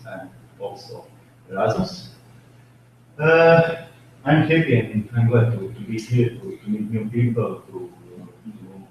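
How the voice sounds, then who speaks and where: A man with a somewhat low voice introduces himself warmly, tripping over a few repeated words. He is recorded from a distance in a very echoey room. Clear noise runs behind him. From Croatia. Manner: friendly, slightly stumbling. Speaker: assistant professor.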